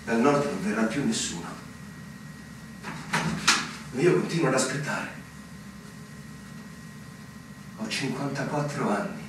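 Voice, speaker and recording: An actor playing an ailing middle-aged army major in a literary radio drama, brooding and pleading in a theatrical manner. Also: male voice, medium pitch, expressive, normal speed, background noise, lightly acted. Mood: weary, resigned. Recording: distant, very echoey, music underneath.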